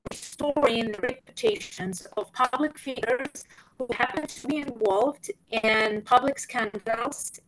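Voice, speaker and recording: A woman's voice: on the high side, fairly bright tone, low-quality recording. A woman with a Ukrainian accent, speaking as a panelist over a video call, careful and hesitant with many fillers.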